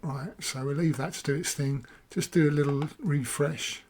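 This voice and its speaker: A man talks casually through a software demo, his voice hoarse after long use. He rambles and corrects himself as he goes, thinking aloud while clicking. His voice sits a touch on the high side. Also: fairly even intonation, normal speed. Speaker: software reviewer. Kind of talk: casual screen-recorded walkthrough.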